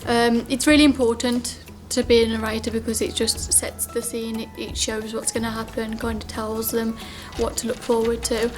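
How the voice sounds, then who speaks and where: A woman's voice: fairly high pitch, little pitch movement, moderate pace, soft background music. A teenage girl, a drama student, informally explaining her part in a show.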